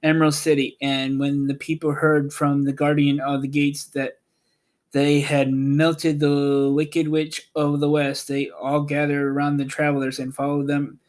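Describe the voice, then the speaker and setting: A man reading a children's storybook aloud in a measured, plain narrating style. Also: on the high side, completely flat delivery, a little mellow. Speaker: audiobook narrator and host.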